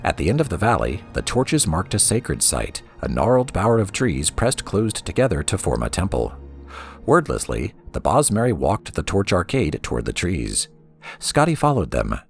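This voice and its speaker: Male game storyteller, bottom-register voice with a fry edge and animated intonation, reading lore books aloud. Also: low voice, normal speed, faint music behind.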